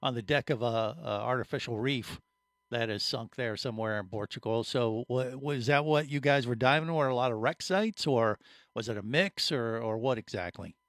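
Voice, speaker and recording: A man's voice: medium pitch, moderate pace, fairly bright tone, no echo. Upbeat male podcast host, dry sound. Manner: upbeat, asks guests questions. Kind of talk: casual podcast chat.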